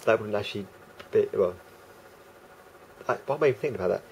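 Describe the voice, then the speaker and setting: Croaky, rambling low-voiced man. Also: expressive, quick pace, darkish tone, a little hiss or hum. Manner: rambling, hesitant, full of fillers and restarts. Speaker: vlogger. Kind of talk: casual monologue to camera.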